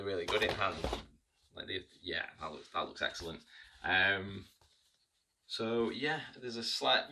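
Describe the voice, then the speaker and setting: A man talks casually through an unboxing, full of ums and restarts. His voice is low but bright and forceful. He sits a little back from the mic, with a faint hiss behind him. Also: recorded from a distance. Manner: chatty, hesitant with frequent ums and restarts. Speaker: miniatures reviewer.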